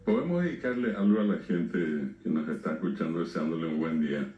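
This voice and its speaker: A man chatting on a radio show in a relaxed, playful teacher tone. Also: deep voice, fast talker. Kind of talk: casual on-air chat. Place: radio studio. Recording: distant, dull, echoey studio sound.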